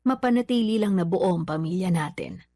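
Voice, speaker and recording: A woman's voice: lowish voice, animated, fairly quick, warm tone. A woman playing a long-suffering, resigned mother in a Filipino radio drama, speaking with patient, plaintive delivery. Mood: sad, patient. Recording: faint music behind.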